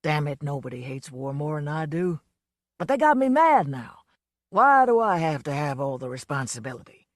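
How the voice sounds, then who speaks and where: Woman doing a drunken braggart, loud, slurred, swaggering, swooping pitch, dry close sound. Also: sing-song, normal speed, dry recording. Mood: self-pitying. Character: drunken blustering man, a boastful folksy entertainer.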